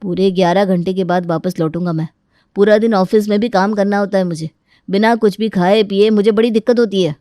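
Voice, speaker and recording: A woman's voice: medium pitch, steady tone, a little fast, mellow, dry recording, clean studio sound, pitched below the performer's own voice, natural voice. A woman voicing a reluctant, busy younger adult son who pleads and protests in a moral story. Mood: pleading protest. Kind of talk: acted dialogue in a moral story.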